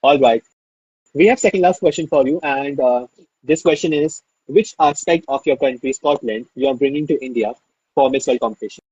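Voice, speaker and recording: A man's voice: medium pitch, fairly quick, a little mellow, slightly rough, dull audio. A man hosting a live online interview, enthusiastic and full of fillers, who keeps calling things amazing. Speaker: interview host.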